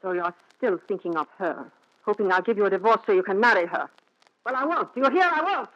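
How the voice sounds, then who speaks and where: A woman's voice: mid-range voice, lively intonation, fairly quick, fairly bright tone, no echo, natural voice. A woman playing a jealous, possessive, menacing wife in an intense, theatrical 1940s radio thriller style. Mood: furious, possessive. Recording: dull vintage 1940s radio recording.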